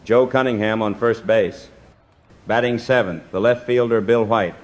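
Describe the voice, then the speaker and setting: A man doing baseball play-by-play commentary as the announcer, in measured, clipped phrases. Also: on the low side.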